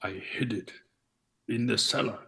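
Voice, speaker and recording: Man playing a meek, slow-spoken hanger-on. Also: medium pitch, gravelly, pushed and bright. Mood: anxious. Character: meek, wistful male hanger-on in rural Russia. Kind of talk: amateur play reading.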